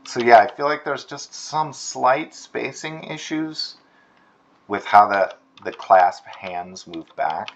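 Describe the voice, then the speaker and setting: A man giving a casual, encouraging critique as an animation instructor, softening his suggestions with tentative phrasing. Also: medium pitch, animated, slow, dull audio. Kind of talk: casual spoken critique of student work. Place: screen-recorded voice-over.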